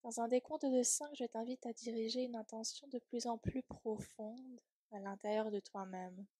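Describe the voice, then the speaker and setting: A woman leading a guided yoga nidra relaxation in a soft, breathy, soothing voice, gently trailing off at times toward a whisper. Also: on the high side, steady tone, moderate pace, no echo.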